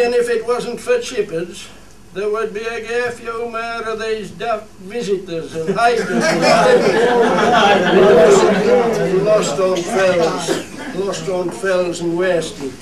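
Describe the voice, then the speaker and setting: A man's voice: high voice, steady tone, very slow, some chatter behind. A man playing a proud, plain-spoken fell shepherd in a dialect radio play, speaking earnestly with a Cumbrian accent, as if making a speech. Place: studio theatre. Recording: roomy stage, distant mic, faint noise.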